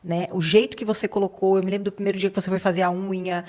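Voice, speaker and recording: A Brazilian woman with a mid-pitched voice hosts a conversational podcast. She speaks informally and affirms her guest with an encouraging manner. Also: level tone, rapid-fire, darkish tone. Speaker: podcast host. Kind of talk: casual interview conversation.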